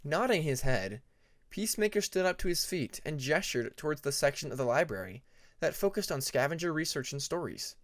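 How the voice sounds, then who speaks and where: A man narrating a fantasy story as an audiobook, with expressive scripted delivery. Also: on the high side, brisk, no room sound, clean studio sound. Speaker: audiobook narrator of fan fiction.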